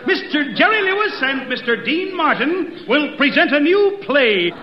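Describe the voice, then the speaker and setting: A man announcing a vintage radio comedy act in an excited style, on a dull, echoey old recording. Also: very high-pitched, measured pace. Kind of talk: excited 1950s radio show introduction.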